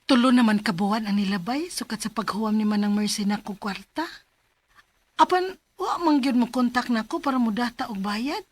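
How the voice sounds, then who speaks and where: A woman acts out a young Filipina working abroad, with a high, plaintive voice. She is patient yet worried, speaking earnestly in the style of a radio drama. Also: moderate pace, no echo, natural voice. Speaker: radio drama lead and narrator.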